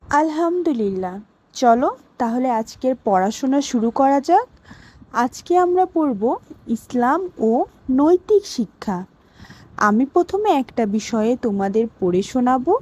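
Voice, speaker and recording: A woman teaching a lesson for children, reciting deliberately and instructively, word by word. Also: high-pitched, big pitch swings, mellow. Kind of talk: children's educational lesson, reciting names with meanings.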